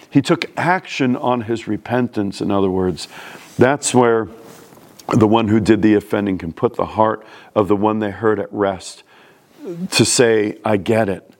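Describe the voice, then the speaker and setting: A man's voice: fairly low pitch, darkish tone. A man from New York City with a New York accent, preaching a sermon in a plain-spoken, conversational way. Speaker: pastor.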